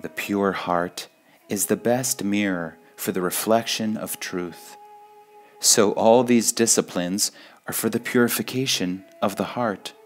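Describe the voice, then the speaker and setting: A man reading spiritual sayings in a measured, deliberate devotional style, pausing between phrases. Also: fairly low pitch, faint music behind. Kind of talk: slow devotional quote reading.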